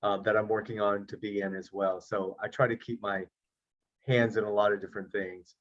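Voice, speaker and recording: A man's voice: lowish voice, little pitch movement, fairly quick. A middle-aged man, an actor and producer, chatting easily as an interview guest over a video call, with self-deprecating jokes. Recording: slightly dull lo-fi audio.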